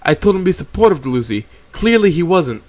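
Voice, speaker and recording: A man voicing a jolly, blustering male Tyrannosaurus boss with a New York accent, loud and dismissive, in a read-aloud story. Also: a little high, sing-song, quick pace, pitched above the performer's own voice. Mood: stern. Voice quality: loud, bellowing. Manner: casual, dismissive. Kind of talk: reading a fan story aloud.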